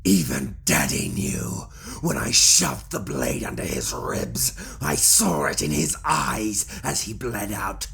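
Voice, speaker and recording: Brutal armoured warlord for fantasy audio drama, played by a woman: very deep, husky rasp, slow sneering menace. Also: sing-song, fairly bright tone, in a whisper, exaggerated voice. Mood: cruel gloating. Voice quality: gravelly, rasping. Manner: slow, snarling, taunting.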